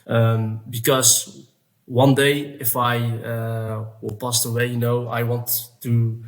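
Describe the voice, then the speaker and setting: Halting, flat-voiced young man. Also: medium pitch, rough recording. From Palestinian, born in Syria, raised in the Netherlands. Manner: halting, many fillers, searches for words. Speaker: NFT project founder. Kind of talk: casual interview answers.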